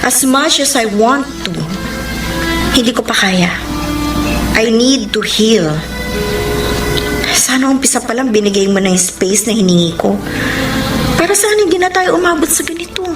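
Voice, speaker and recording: A woman's voice: a little high, lively intonation, normal speed, long pauses, natural voice. An actress playing a heartbroken, weary but resolute woman in unrequited love, with a Filipino accent, in a radio romance drama. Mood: weary, wistful. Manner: code-switches between Tagalog and English. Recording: echoey, loud music bed.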